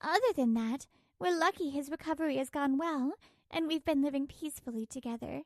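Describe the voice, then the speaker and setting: High-voiced exasperated wife, acted by a woman. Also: brisk, a little mellow, no echo, studio quality. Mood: weary, troubled. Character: exasperated working wife, narrating her marital troubles. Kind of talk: scripted manga dub narration and dialogue.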